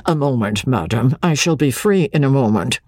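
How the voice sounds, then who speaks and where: A woman's voice: extremely low pitch, expressive, normal speed, darkish tone, no echo, clean studio sound. A woman voicing a testy, hard-of-hearing old hotel clerk with a wheezing voice. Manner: wheezing, cackling.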